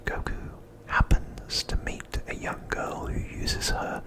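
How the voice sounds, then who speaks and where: Man, breathy whisper, ASMR host, scripted recap narration, deliberate short phrases.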